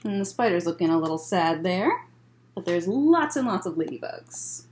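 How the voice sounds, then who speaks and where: Woman in her thirties, children's librarian, picture-book read-aloud, highly varied intonation, measured phrases, chatty asides, faint noise in the recording. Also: sing-song, a little mellow. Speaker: children's librarian reading at story time. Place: library room, indoors.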